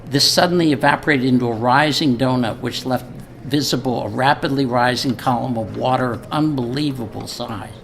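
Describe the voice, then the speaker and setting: Older male, mid-pitched, informal public speaker and halting reader, live recording with faint noise. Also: gravelly. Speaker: speaker at a memorial event. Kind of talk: informal speech with reading from a letter. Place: live event before an audience. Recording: live event recording with noticeable background noise.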